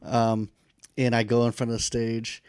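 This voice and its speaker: Animated baritone man telling stories. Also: normal speed, smooth, no room sound, studio quality. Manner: animated storyteller, laughs often. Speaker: podcast co-host. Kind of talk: casual chat, storytelling.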